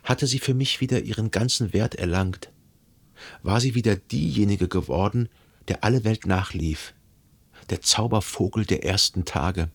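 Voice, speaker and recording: A jealous, lovesick man narrating his own story in a radio drama. His voice is fairly low. He speaks deliberately and introspectively, as if questioning himself. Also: husky. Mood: brooding, jealous. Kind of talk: literary radio drama first-person narration.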